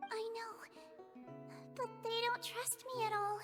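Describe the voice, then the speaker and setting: A woman voicing a gentle, earnest, determined young female medical apprentice in a video game. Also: extremely high pitch, slow, in a large hall, over music. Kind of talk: video game drama acting.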